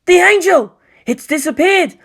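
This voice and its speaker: Woman as a high, panicky young male officer. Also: expressive, fairly bright tone, exaggerated voice. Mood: panicked. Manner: hurried, urgent reporting. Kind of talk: dramatic audiobook character acting.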